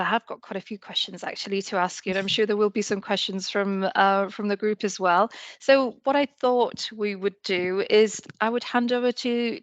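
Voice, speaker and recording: Woman, croaky, upbeat moderator, video call, dry sound. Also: a little high, no echo. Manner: upbeat, gushing, hesitant with ums. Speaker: panel moderator, HR director. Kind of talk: moderating a panel.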